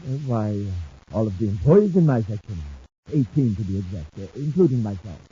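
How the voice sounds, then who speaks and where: A man's voice: lowish voice, animated, moderate pace, mellow, husky. An American man playing a nervous, fussy government office manager, hesitant and precise, in a 1950s radio serial. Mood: grave. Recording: muffled 1950s radio recording.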